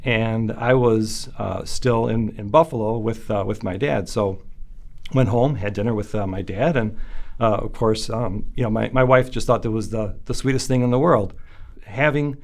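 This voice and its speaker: A man's voice: lowish voice, normal speed. Hesitant man recalling family life. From United States. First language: Italian. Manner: hesitant, many fillers. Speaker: interviewee. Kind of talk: casual recollection.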